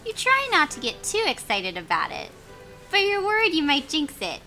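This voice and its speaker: Woman, bright high voice, expressive storybook narration, amused asides, gaming video, music underneath. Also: moderate pace, low-quality recording. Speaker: gaming show co-host reading a story game aloud. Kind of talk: casual let's play narration with banter.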